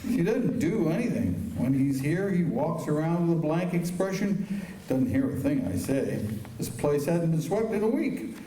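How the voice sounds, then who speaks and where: Mellow, stubborn Western lawman played by a man, echoing. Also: on the high side, very animated, normal speed. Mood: worried grumbling. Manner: plain-spoken, grumbling. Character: stubborn, exasperated old-West male lawman. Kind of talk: Western radio drama acting. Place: high school auditorium stage. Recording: roomy auditorium, distant mics, faint noise.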